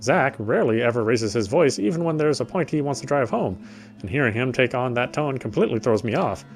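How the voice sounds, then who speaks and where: Mid-pitched male voice, game streamer, dramatic read-aloud narration, music behind. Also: expressive, fairly quick. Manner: dramatic reading with offhand asides. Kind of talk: reading visual novel narration aloud.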